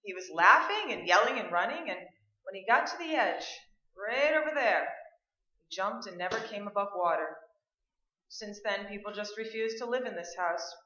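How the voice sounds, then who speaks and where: Roomy stage sound: woman acting no-nonsense, practical drama heroine, bright and emphatic. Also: mid-range voice, animated, normal speed. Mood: matter-of-fact. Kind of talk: staged radio play acting. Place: indoor stage, live performance. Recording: roomy, dull stage recording.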